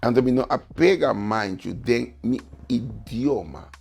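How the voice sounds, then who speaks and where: Gravelly, husky-voiced man. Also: medium pitch, animated, unhurried, a little mellow. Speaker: guest writer. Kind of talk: studio talk show discussion.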